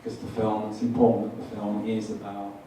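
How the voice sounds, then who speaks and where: A man's voice: on the low side, flat delivery, fairly quick, mellow. A man, a film director, answering audience questions at a festival screening and hesitating often. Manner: hesitant, many fillers and restarts. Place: cinema hall. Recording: echoey hall, distant mic, noisy.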